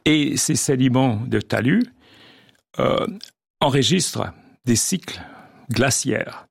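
A man's voice: medium pitch, slow pace, gravelly, husky, no echo, studio quality. An older man, a geologist and oceanographer, explains his research in a radio interview, hesitant with many restarts.

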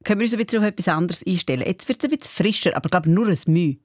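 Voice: medium pitch, expressive, very fast, no echo, studio quality. A radio presenter with a Swiss German accent chatting casually and teasing a colleague, laughing often.

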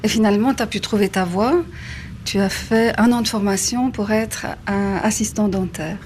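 A woman's voice: medium pitch, normal speed, a little mellow. Woman interviewer over faint background noise. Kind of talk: conversational interview questions.

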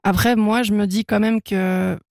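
A woman's voice: medium pitch, steady tone, measured pace, vocal fry, darkish tone, no echo. A young woman from Switzerland, German her first language, chatting as a singer-songwriter guest in a radio studio, laughing often and talking with many fillers and restarts. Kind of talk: casual radio interview.

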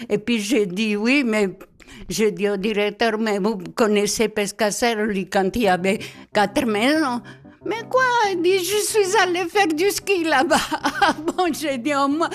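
Femme âgée à l'italien, pensive. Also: female voice, on the high side. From central Italy. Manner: reflective, halting, repeats words as she tells her stories. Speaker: interviewee. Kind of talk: reminiscing storytelling. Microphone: handheld mic held by the interviewer.

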